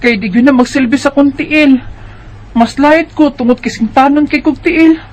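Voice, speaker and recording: A woman's voice: high voice, normal speed, darkish tone, lightly acted, poor audio. A woman with a Cebuano Bisaya accent playing a tired, worried teenage schoolgirl who complains and questions in a radio drama. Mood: weary, whiny. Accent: Cebuano Bisaya Filipino.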